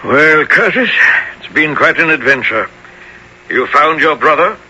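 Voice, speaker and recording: A man acting a genial, blustery captain in a 1970s radio adventure drama, with a raspy voice. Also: on the high side, expressive, measured pace, background noise. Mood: hearty.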